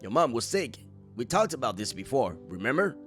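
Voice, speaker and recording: A man's voice: mid-range voice, sing-song, fairly quick, close to an everyday voice. A man voicing an earnest, reassuring young father in a manga dub. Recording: background music under the voice.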